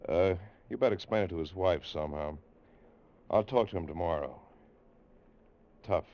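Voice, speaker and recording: An actor playing a measured, reassuring army doctor, a psychiatrist with the rank of major, in an old-time radio drama. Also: male voice, low voice. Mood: measured, thoughtful. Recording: muffled vintage radio recording.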